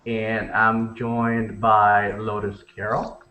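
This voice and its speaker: A man, the show's founder, opening a casual online show with halting, filler-laden speech. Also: on the low side, completely flat delivery, pushed and bright, reverberant, a little hiss or hum. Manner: halting, filler-heavy intro.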